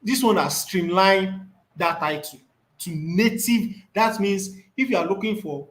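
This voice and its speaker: A man's voice: high-pitched, a little slow, a bit bright. Man teaching a screen tutorial. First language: Yoruba. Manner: thinks aloud, repeats words while reading off the screen. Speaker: instructor.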